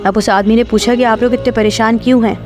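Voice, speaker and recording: Higher-voiced woman narrating horror over music. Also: fairly even intonation, fast talker. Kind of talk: scripted horror story narration. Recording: clear music bed under voice.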